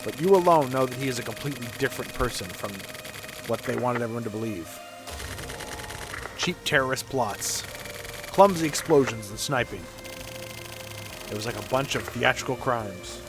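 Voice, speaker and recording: A man reading game narration aloud in a measured, dramatic storytelling way. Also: medium pitch, expressive, music in the background.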